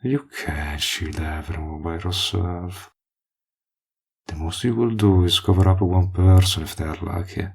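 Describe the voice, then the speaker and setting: A man playing a smug, menacing villain in an audio roleplay, taunting and mock-polite, possessive, with a gravelly, husky voice. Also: sits low, fairly even intonation, full character voice. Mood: possessive, condescending. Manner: taunting, mock-polite, deliberate drawl with drawn-out threats. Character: smug menacing male villain, possessive toward a former friend. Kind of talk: scripted audio roleplay drama.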